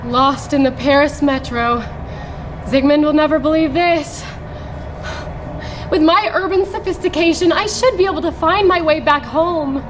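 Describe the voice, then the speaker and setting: A woman's voice: high voice, unhurried. An actress playing an urbane, indecisive, pretentious woman in her thirties in a stage play, with an intellectual, theatrical manner. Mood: self-assured. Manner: theatrical, intellectual, sprinkles in French. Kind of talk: live stage play acting. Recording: echoey theatre stage recording, room noise.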